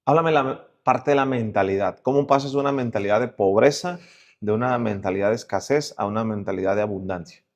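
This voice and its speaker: A man's voice: lowish voice, fairly quick, darkish tone, lo-fi sound. Reflective male interviewer, casual delivery. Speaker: interview host.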